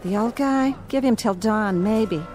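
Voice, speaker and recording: An actress playing a polite, worldly casino cashier in a radio drama. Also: female voice, fairly high pitch, a little slow, over music, lightly acted. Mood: wry, knowing.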